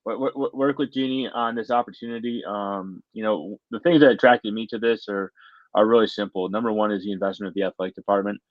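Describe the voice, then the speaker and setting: A middle-aged American man from Michigan, a university faculty member giving earnest, conversational interview answers in a halting, word-repeating way. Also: fairly low pitch, steady tone, no room sound, highs cut off. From Michigan, United States.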